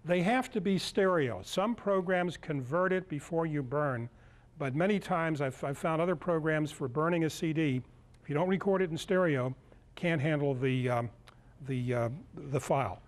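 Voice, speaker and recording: Older man with a slightly high voice. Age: 60s. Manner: halting, searching for words. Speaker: lecturer at a club workshop. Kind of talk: informal live demonstration. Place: indoor meeting room, speaking to a group.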